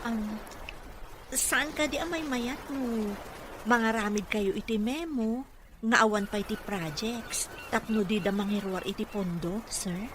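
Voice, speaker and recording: Devoted female therapist played by a woman, higher-pitched voice. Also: a little slow, background noise, close to an everyday voice. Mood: concerned. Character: devoted, respectful female therapist to a rich boss. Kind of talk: Ilocano radio drama acting.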